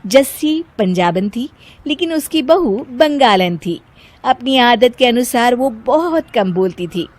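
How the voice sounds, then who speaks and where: Very high, lively woman storyteller. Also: slow. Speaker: narrator. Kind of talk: scripted animated story narration.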